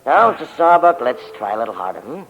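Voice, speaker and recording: Officious American sheriff, muffled old radio. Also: male voice, medium pitch, big pitch swings, fast talker. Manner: formal questioning, patronizing. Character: officious male county sheriff, folksy, plodding, unruffled. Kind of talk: 1940s radio drama acting.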